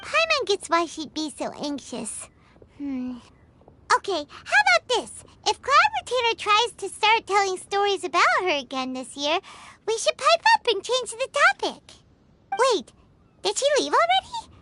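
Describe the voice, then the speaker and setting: Squeaky, very high-pitched tiny fairy companion. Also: female voice, big pitch swings, normal speed, heavy background noise. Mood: musing, chatty. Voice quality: squeaky, childlike. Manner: refers to self in third person, theatrical. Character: tiny childlike floating fairy companion, chatty, bossy, excitable. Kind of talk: video game character acting. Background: music.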